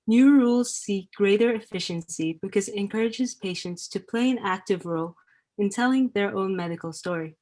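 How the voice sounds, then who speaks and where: A woman's voice: mid-range voice, moderate pace, a little mellow, rough recording. A woman panelist reading out a scripted, formal explanation for an educational program.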